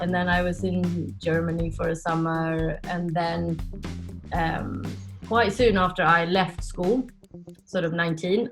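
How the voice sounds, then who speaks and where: A Swedish-raised woman in her forties, a podcast guest chatting casually about her life and laughing often as she tells stories. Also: lowish voice. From Sweden. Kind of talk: casual interview chat.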